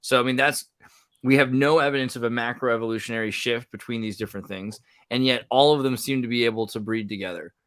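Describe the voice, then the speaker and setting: A man hosting a podcast, talking casually in an animated, rambling way that often breaks off mid-sentence. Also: medium pitch, fast talker, dry recording. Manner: animated, rambling, self-interrupting. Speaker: podcast host. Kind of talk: casual conversational podcast chat.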